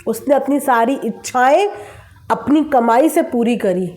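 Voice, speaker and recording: A woman's voice: high voice, animated, unhurried, a little mellow. A woman giving opinionated commentary to her viewers, sarcastic in manner, with rhetorical questions and emphatic repetition. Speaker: vlogger commenting on online creator gossip.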